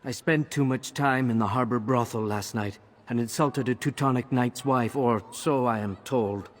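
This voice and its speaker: An actor playing a roguish, wry carouser in a video game, talking in a wordy, mock-respectful way. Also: male voice, mid-range voice, moderate pace, lightly acted. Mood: wry, pleading. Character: roguish, wry carousing man seeking help. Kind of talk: scripted game cutscene acting.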